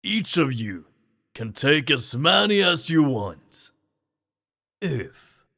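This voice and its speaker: A man playing a stately, grateful king in a fantasy audio drama, speaking formally and ceremoniously with a gravelly voice. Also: on the high side, big pitch swings, slow, sharp tone, exaggerated voice. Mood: regal, insistent. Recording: long hall reverb.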